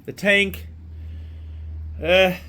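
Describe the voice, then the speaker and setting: A man's voice: high-pitched, fairly even intonation, very slow, sharp tone. Man with a sore throat, rambling. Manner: rambling, with many fillers and restarts. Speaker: motorcycle restoration vlogger. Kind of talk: casual walkaround vlog. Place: home garage.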